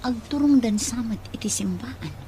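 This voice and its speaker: A woman's voice: on the high side, expressive, moderate pace, dark tone, slight background noise, close to an everyday voice. An actress with an Ilocano Filipino accent playing a distressed, bitter young pregnant woman in an emotional radio drama. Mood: brooding. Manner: emotional, dramatic, tearful outbursts and muttered monologue.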